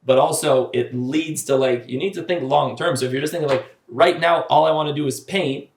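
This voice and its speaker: A man's voice: fairly high pitch, a little fast, a little hiss or hum. A man in his thirties, an artist and podcast guest, talking casually and earnestly with many filler words. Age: 30s. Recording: roomy echoey room sound.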